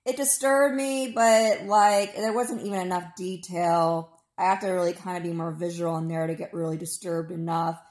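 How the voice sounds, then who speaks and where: A woman in her mid-thirties speaks in a hoarse, lowish voice. She delivers a digressive, opinionated personal monologue in an informal way. The recording is noticeably echoey. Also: little pitch movement, normal speed, few pauses, darkish tone. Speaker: vlogger.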